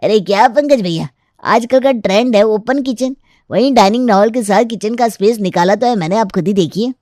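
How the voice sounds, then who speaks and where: A woman's voice: medium pitch, moderate pace, mellow, dry recording, studio quality, natural voice. Woman playing a breezy, self-justifying male builder. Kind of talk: animated Hindi family story dialogue.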